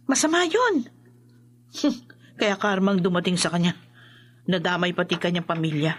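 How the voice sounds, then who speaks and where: A woman's voice: lowish voice, expressive, a little slow. An actress playing an indignant, judgmental neighbour woman, huffy and scornful, in a radio drama.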